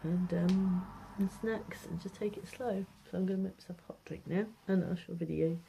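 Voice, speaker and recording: A British woman with a fairly low voice talks casually through a food diary at home. She rambles hesitantly, trailing off and circling back over her words. It is an amateur recording with noticeable background noise. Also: fairly even intonation, moderate pace, vocal fry, warm tone. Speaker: vlogger. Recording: noisy amateur home video.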